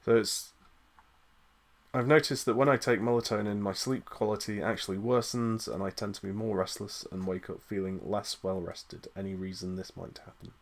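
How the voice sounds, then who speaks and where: A man's voice: lowish voice, steady tone, normal speed. A man co-hosting a livestream Q&A, reading viewer questions aloud in a casual way.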